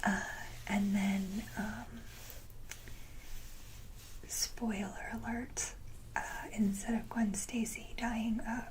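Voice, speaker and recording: A woman hosting an ASMR video, speaking casually in a breathy, gentle whisper, in short halting phrases. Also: mid-range voice, level tone, slight background noise, poor audio. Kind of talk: soft-whispered ASMR, casual show-and-tell.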